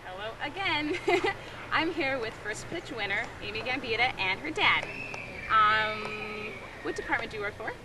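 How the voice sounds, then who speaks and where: A woman's voice: on the high side, lively intonation, measured pace. Giggly young woman interviewing outdoors. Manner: friendly, giggly, encouraging questions. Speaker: company video interviewer. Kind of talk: casual on-camera interviews. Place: outdoor baseball stadium and dugout. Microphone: handheld mic on camcorder audio. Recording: distant camcorder audio with noise.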